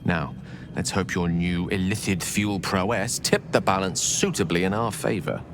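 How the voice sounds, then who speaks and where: An actor playing an eloquent, courteous wizard in a fantasy video game, wordy and refined with a playful, wry touch. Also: male voice, deep voice, normal speed, over music. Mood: approving, measured.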